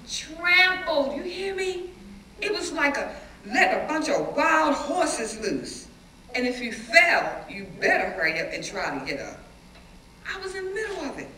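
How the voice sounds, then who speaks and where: A woman performing a dramatic stage reading as a defiant, plain-spoken schoolteacher recalling riots, with animated, emphatic storytelling and dramatic pauses. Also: sits high, distant mic, exaggerated voice. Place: echoey indoor room, live reading before an audience. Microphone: distant microphone. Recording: roomy, noisy hall recording from afar.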